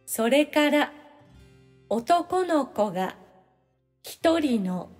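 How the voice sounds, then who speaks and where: A woman with a very high voice reads scripted lesson lines in a clear, instructional way. Her slow delivery sits in long reverb. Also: big pitch swings, darkish tone, faint music behind. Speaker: language-lesson reader. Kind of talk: scripted audio-drama sentences for learners.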